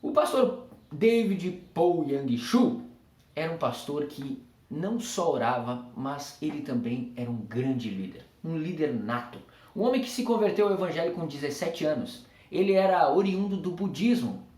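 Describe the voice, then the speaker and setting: An earnest Brazilian man speaks to camera with a Brazilian Portuguese accent, leaning into emphatic, repeated phrases like a preacher. His voice sits fairly high for a man. The room gives it a noticeable echo. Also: lively intonation, moderate pace, darkish tone. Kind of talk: reflective tribute talk to camera.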